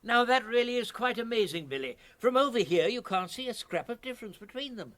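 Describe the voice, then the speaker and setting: High-voiced, bumbling old English gentleman. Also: lively intonation, fairly quick, dry recording, full character voice. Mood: amiable. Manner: polite, apologetic, hesitant. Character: mild, deferential, bumbling old English prisoner, loyal sidekick. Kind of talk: British radio comedy drama acting.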